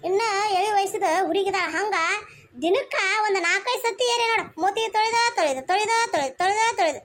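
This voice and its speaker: Woman as a very high-voiced griping young daughter-in-law. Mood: mocking. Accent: North Karnataka Kannada. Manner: plaintive, repetitive griping. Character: put-upon young daughter-in-law griping about her mother-in-law. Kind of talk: rustic comedy cartoon dialogue.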